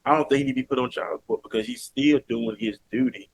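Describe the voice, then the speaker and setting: A man's voice: medium pitch, fast talker, low-quality recording. A man from Alabama with a Southern accent, talking earnestly and emphatically as a podcast guest. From Mobile, Alabama, US. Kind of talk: casual interview talk.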